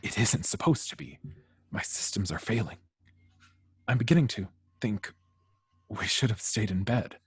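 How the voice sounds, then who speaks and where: A man playing a gentle, troubled android in love, hesitant and earnest, in an amateur film table read. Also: fairly low pitch, expressive, normal speed, long pauses, husky, no room sound. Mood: grave. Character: gentle, lovestruck, troubled male android hero.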